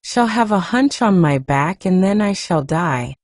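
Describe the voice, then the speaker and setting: A woman's voice: on the low side, expressive, a little slow, a little mellow, no room sound, clean studio sound. A woman voicing a frightened, sickly boy who gasps and sobs. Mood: sobbing. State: sobbing.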